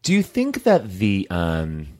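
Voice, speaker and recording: Brief: male podcast co-host, very low voice, agreeable, dry humor. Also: huge pitch swings, takes it slowly, a little mellow. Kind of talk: casual chat reviewing a movie.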